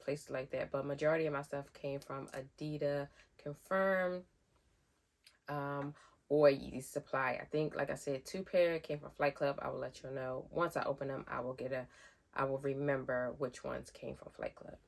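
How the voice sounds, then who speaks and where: A woman's voice: fairly even intonation, moderate pace, gravelly. A woman vlogger, chatting casually through a sneaker unboxing, a little nasal from a head cold. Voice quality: deep voice. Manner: chatty, rambling with fillers.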